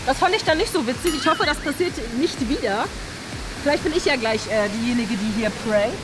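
A woman's voice: sits high, expressive, a little fast, background noise. A young woman hosting a vlog in a casual, chatty way, thinking aloud as she talks to the camera. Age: young adult. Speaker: host of a YouTube challenge vlog. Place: large indoor swimming pool hall.

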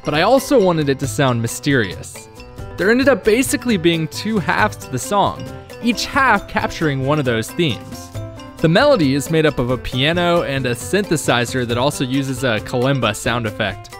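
A man's voice: fairly high pitch, big pitch swings, moderate pace, a bit bright, faint music behind. A young man narrating a casual vlog in a wry, self-deprecating way. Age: young adult. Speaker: vlogger, game developer.

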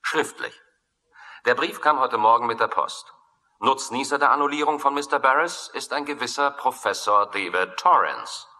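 An actor playing a methodical, dry investigator briefing a colleague in a radio thriller. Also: male voice, mid-range voice, normal speed, pushed and bright. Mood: dry, deliberate. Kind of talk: German radio thriller acting. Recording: long hall-like reverb.

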